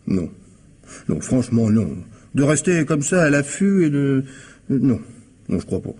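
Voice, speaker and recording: An actor playing a hesitant, evasive, informal witness being questioned in a French radio crime drama. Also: male voice, mid-range voice, big pitch swings, measured pace, a little mellow, husky.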